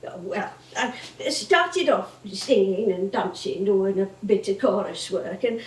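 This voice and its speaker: A woman's voice: mid-range voice, animated, unhurried, natural voice. An actress playing a chatty, nostalgic older comedienne with a Northern English accent, rambling and exclaiming as she tells stories on stage. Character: veteran comedienne, chatty, nostalgic older music-hall woman. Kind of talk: theatrical stage monologue and dialogue. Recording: echoey theatre stage from far off.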